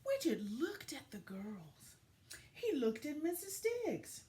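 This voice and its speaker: A woman teacher giving an expressive read-aloud lesson for young children, with deliberate, dramatic phrasing. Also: high voice, slight background noise.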